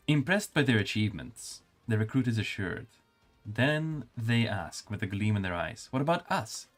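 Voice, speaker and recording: A male streamer with a calm, soothing voice, reading game lore aloud and adding casual remarks. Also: fairly low pitch.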